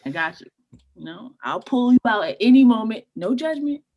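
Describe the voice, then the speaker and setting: Lively, blunt young woman friend, played by an actress. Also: fairly high pitch, normal speed, vocal fry, muffled recording. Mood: insistent concern. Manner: slangy, pressing, direct. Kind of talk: casual screenplay table read.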